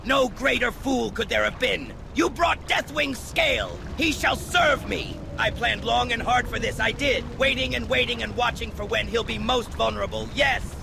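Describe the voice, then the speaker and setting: A man's voice: moderate pace, few pauses, noisy background, exaggerated voice. A man voicing a gleeful, treacherous little goblin who taunts in sing-song repetitions in a fantasy game cutscene. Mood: gloating. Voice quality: shrill, creaky. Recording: loud music behind. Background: music.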